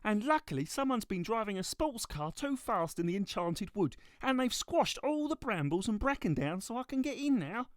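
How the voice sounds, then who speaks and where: Talking trolley: small, high-pitched, childlike, earnest run-on chatter. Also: male voice, big pitch swings, normal speed, hardly any pauses, no echo, studio quality. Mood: eager, proud.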